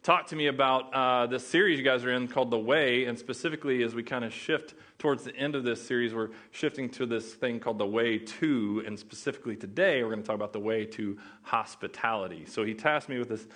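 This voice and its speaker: A man's voice: mid-range voice, a little fast, hardly any pauses, a bit bright. A young man from Oklahoma with an Oklahoma accent, preaching as a guest pastor in a casual, joking way that chats with the audience. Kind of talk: sermon.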